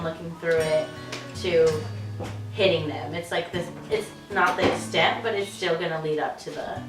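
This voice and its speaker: A woman's voice: on the low side, echoey room, recorded from a distance, poor audio. A young woman speaking casually and earnestly, thinking aloud and peppering her speech with "like". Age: young adult. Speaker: student speaker.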